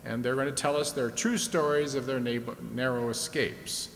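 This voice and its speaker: A man's voice: medium pitch, steady tone, moderate pace, lightly acted. Man acting as a folksy radio quiz host, big hall. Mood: genial, explaining. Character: genial, talkative male radio quiz show host. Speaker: game show host. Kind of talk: 1950s radio game show acting. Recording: reverberant hall with faint noise.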